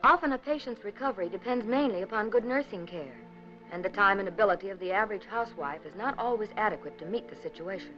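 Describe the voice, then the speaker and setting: A woman delivering scripted 1950s documentary narration in an earnest, measured, rhetorical manner. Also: medium pitch, animated, fairly bright tone. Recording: dull 1950s film soundtrack, music behind. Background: background music.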